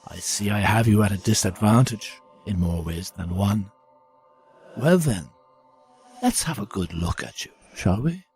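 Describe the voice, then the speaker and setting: Slow, menacing villainous piper. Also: male voice, fairly low pitch, lively intonation, darkish tone, breathy edge, faint music behind, heavily acted. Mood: smug, probing. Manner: slow, menacing, mock-courteous, savouring words. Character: sinister ancient piper, gloating, taunting child-stealing villain. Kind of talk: audio drama acting.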